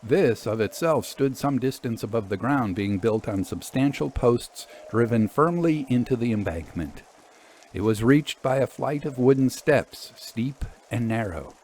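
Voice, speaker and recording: A man reading scripted narration as a storytelling host, expressive and deliberate. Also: mid-range voice, slight background noise.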